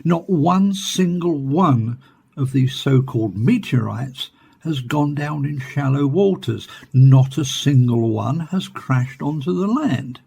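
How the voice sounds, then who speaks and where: A man's voice: mid-range voice, expressive, slow, gravelly, dark tone, husky, exaggerated voice. An actor playing an earnest, precise university professor explaining his findings emphatically in a radio play interview. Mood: grave, insistent.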